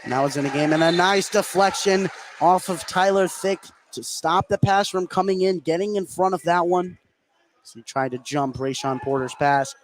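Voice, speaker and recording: A man doing live play-by-play basketball commentary for radio, getting excitable on big plays. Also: sits high, moderate pace. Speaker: play-by-play sports announcer. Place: indoor school gym. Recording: clearly noisy live gym broadcast. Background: gym ambience and noise.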